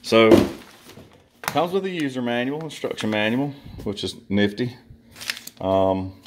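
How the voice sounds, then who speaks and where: Deep-voiced man rambling through an unboxing. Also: heavy background noise. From southern United States. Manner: rambling, folksy, addresses viewers as guys. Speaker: vlogger reviewing a chainsaw. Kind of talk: casual unboxing talk. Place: workshop or garage indoors, workbench. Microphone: handheld phone camera.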